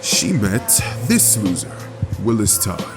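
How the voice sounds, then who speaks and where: Lowish male voice, acted, young bitter antihero, wry first-person narration, music underneath. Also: big pitch swings, takes it slowly. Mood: sardonic. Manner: sardonic, wry. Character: bitter, sardonic young male antihero telling his origins.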